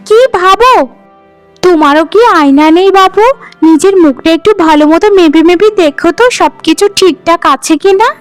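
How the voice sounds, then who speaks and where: A woman acts out a young cartoon wife with an extremely high voice. She is quarrelsome and vain, scolding and boasting. Faint music plays behind her. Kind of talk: cartoon dialogue.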